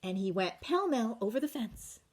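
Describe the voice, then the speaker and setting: A woman storyteller reads for young children. Her voice is high and highly animated. She shifts her volume expressively and talks straight to her listeners. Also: moderate pace. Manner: expressive, asks listeners questions, varies volume. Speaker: children's story reader, teacher.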